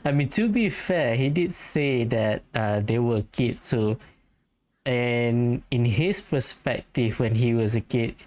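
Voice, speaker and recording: A woman's voice: very deep voice, slow, a little mellow. A woman giving casual, amused gameplay commentary with a teasing manner. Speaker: game streamer. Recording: game music behind the voice.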